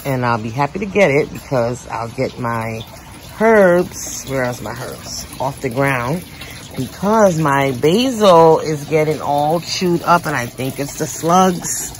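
Slow-talking woman on a backyard tour. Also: sits low, rough recording. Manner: chatty, talks straight to viewers with lively exclamations. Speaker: home renovation vlogger. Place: outdoor backyard patio and garden. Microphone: handheld phone camera. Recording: outdoor ambient noise.

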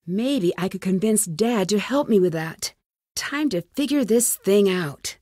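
A woman's voice: medium pitch, expressive, measured pace, a little mellow, no room sound. Inquisitive young female sleuth for an adventure game: earnest, plucky musing to herself, close dry sound. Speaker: lead character in a detective adventure game. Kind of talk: scripted game dialogue, thinking aloud.

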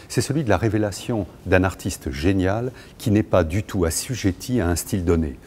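Deep, mellow-voiced male curator. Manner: measured, articulate, lecturing. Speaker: museum curator. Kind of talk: explanatory art commentary. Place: large indoor gallery.

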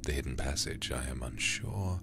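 A man reading a horror game aloud in ASMR style, hushed, breathy and deliberate, close to the microphone. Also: rumbling low, noisy background. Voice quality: breathy, whispery. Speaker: livestreamer playing a horror game.

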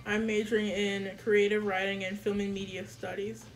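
A woman's voice: medium pitch, little pitch movement, normal speed, slight background noise, rough recording. A young woman from St. Louis chatting frankly with friends. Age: young adult. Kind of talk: casual chat.

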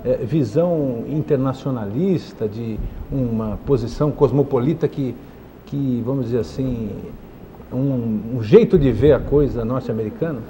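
A man with a Brazilian accent asking a question in a studio interview, feeling his way haltingly through the words. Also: mid-range voice, mellow, poor audio. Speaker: interviewer on a panel. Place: television studio. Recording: faint noise, old TV studio tape.

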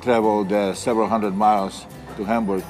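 A man's voice: on the low side, little pitch movement, fairly quick, darkish tone. A man in his sixties from Poland, a native Polish speaker with a Polish accent, answering interview questions in hesitant phrases. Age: 60s. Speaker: innkeeper being interviewed. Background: music.

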